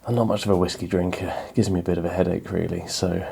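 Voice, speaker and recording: Middle-aged man, podcast host, low voice, rambling, self-deprecating chat. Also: little pitch movement, quick pace, creaky, warm tone, husky. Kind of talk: casual chat. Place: small home-built pub in a garden outbuilding.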